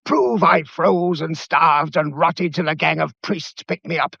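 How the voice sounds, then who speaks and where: An actor playing a cornered, defiant murderer in a radio melodrama, taunting and theatrical. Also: male voice, fairly high pitch, measured pace, vocal fry, pushed and bright, breathy edge, no echo, heavily acted. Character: cornered, defiant murderer, an adventurer feigning lameness. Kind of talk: mid-century radio melodrama acting. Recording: dull, muffled vintage radio recording.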